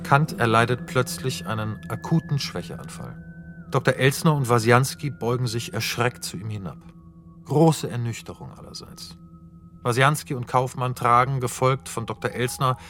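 A man's voice: medium pitch, normal speed, fairly bright tone, faint music behind. A man narrating a radio drama, reading out scene directions in clipped, matter-of-fact phrases.

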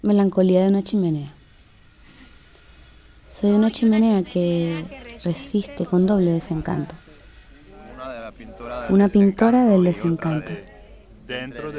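A woman voicing a melancholy, disenchanted chimney in a slow poetic radio drama monologue. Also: on the low side, mellow. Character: melancholy old factory chimney, resisting with disenchantment.

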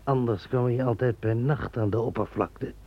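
An actor playing a blunt, dismissive pond keeper in a radio play. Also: male voice, mid-range voice, fairly even intonation, normal speed, lightly acted. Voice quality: gravelly, husky. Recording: dull lo-fi recording.

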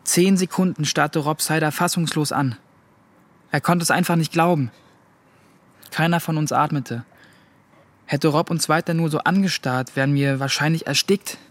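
A man's voice: on the high side, steady tone, moderate pace, long pauses, natural voice. A young man playing a shaken teenage boy, earnestly recounting an ordeal in a radio drama. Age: young adult. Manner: earnest first-person recounting. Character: shaken fourteen-year-old boy recounting a hostage ordeal.

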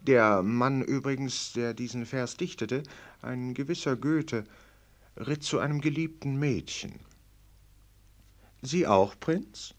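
A man's voice: mid-range voice, slow, pauses often, close to an everyday voice. A man acting a knowing, sardonic commentator in a radio play, with ironic, teasing, rhetorical delivery. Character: sardonic knowing male commentator needling a prince.

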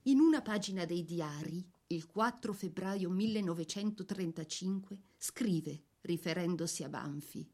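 A woman narrating a scripted literary biography for a radio drama in a measured, literary delivery. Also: lowish voice, a little mellow.

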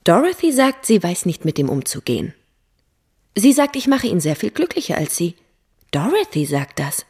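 A woman's voice: fairly low pitch, big pitch swings, moderate pace, warm tone, husky, full character voice. A woman playing a gossipy, self-satisfied young woman in a radio drama, talkative and sure of herself. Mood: gossipy, pointed.

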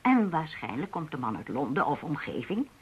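A woman's voice: low voice, sing-song, moderate pace, a little hiss or hum. An actress playing a level-headed, thoughtful woman assisting an investigation in a radio play. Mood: reasoning. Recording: dull lo-fi radio drama recording.

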